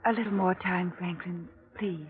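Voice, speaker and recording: A woman's voice: lowish voice, fairly even intonation, normal speed, natural voice. A woman acting in an old radio drama as a spirited, self-willed young heiress, breezy and self-assured. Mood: soft, evasive. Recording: tinny old radio recording.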